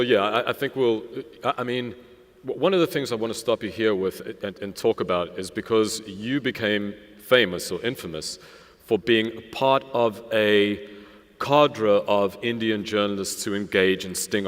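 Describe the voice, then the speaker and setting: Guy hosting on stage, lowish voice, big reverby hall. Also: moderate pace. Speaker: interviewer, host. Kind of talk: onstage interview questions.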